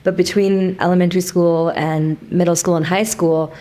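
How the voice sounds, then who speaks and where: Measured low-voiced Canadian woman. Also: little pitch movement, warm tone. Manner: reflective, measured storytelling. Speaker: guest, company founder. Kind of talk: casual interview.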